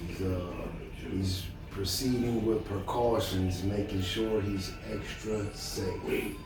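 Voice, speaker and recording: A man's voice: deep voice, steady tone, takes it slowly. A man reading a children's storybook aloud, chatting casually and encouragingly and putting questions to his listener. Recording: echoey room, distant mic, music behind. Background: music.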